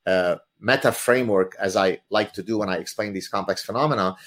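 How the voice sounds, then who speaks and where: Animated man lecturing, native Arabic speaker. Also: on the low side, brisk. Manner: lecturing, theatrical, uses vivid examples. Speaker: author guest. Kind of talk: animated explanatory talk.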